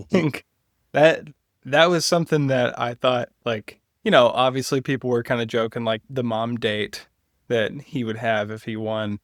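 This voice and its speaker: A man's voice: medium pitch, steady tone, a little slow, no echo. Opinionated man chatting politics, dry close sound. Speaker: political podcast co-host. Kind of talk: casual political discussion.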